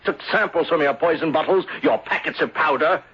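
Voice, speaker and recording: A man's voice: a little high, breathy edge. An actor playing a bitter, vengeful bereaved man who theatrically denounces a murderer in an old-time radio drama. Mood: scornful, triumphant. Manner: theatrical, deliberate accusatory speeches. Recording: dull lo-fi vintage radio recording.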